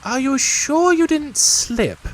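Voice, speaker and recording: A man voicing a fussy, self-important male rabbit who speaks in a brisk, bossy way. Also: very high voice, expressive, pitched above the performer's own voice, exaggerated voice, poor audio. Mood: officious.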